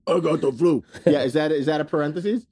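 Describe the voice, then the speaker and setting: A man's voice: a little high, a little fast, dark tone, slightly rough. A man co-hosting a casual comedy podcast, dry and playful, laughing often, with a slight cold. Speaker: podcast co-host.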